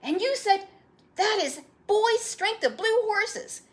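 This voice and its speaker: A woman's voice: very high voice, expressive, slow, voice pushed up, heavily acted. A woman voicing an eager, proud young boy with breathless, earnest emphasis in a storybook read-aloud. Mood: thrilled.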